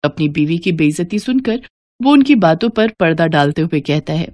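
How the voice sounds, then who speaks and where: A woman's voice: sits low, lively intonation, normal speed, darkish tone, dry recording, clean studio sound. A woman narrating a scripted story as a storyteller.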